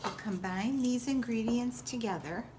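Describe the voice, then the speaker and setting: A woman giving a step-by-step cooking demonstration like a teacher, with simple, clear directions. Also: on the high side, normal speed, background noise. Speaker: school teacher presenting a recipe.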